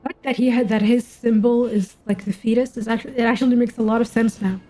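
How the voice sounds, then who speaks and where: A woman's voice: a little high, fairly even intonation, fast, warm tone, faint music behind. A woman streamer giving casual game commentary, thinking aloud in a musing, questioning, informally sweary way. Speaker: gaming streamer reacting to game story.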